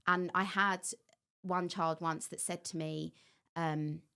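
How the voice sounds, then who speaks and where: A woman hosting a podcast interview, chatty, with quick asides and frequent interjections. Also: fairly low pitch, fairly even intonation, pushed and bright, clear, resonant tone. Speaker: host, life coach and therapist.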